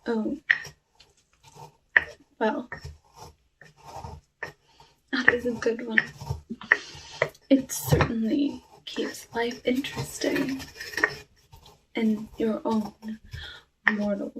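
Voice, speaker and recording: A woman playing a lonely cursed witch in an ASMR roleplay, talking softly and wistfully with halting, hushed delivery over a noisy recording. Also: on the high side, steady tone. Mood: politely curious. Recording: clearly noisy with clicks.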